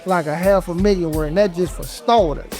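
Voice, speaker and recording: A man's voice: sits high, a little fast, a little mellow, soft background music, lightly acted. An actor in an audio drama playing an eager, scheming young male street hustler with slangy patter.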